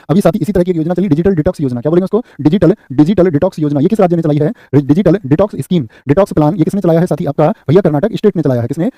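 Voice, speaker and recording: Male coach, high voice, very fast repeating quiz delivery. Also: warm tone, no room sound, clean studio sound. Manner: rapid, repeats answers and asks "what will you say?". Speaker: exam coaching teacher. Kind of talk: live online class, quizzing students.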